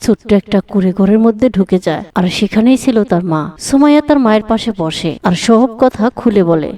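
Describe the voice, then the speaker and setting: A woman's voice: mid-range voice, expressive, a little fast, dark tone. A woman narrating a moral cartoon story from a script as its storyteller.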